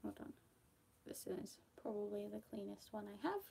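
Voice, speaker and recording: A woman's voice: medium pitch, lively intonation, moderate pace, creaky, mellow, a little hiss or hum. A young woman from the UK with a slightly Australian-tinged British accent, chatting casually while doing her makeup on camera, rambling with fillers and frequent little laughs. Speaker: makeup vlogger. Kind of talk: casual get-ready-with-me chat.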